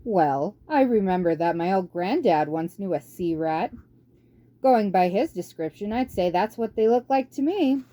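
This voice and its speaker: A woman's voice: medium pitch, expressive, a little slow, dark tone, close to an everyday voice. Woman doing a no-nonsense lady badger from a storybook, sure of herself, takes her time. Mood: firm, assured. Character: plain-spoken, resolute female badger.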